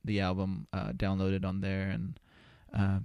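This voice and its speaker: A man's voice: sits low, completely flat delivery, measured pace, a little mellow, clean tone, dry recording. A man answering as a podcast guest in a casual, rambling, hesitant way with lots of "you know". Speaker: podcast guest, spoken word poet. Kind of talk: casual conversational interview answers. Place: indoor studio.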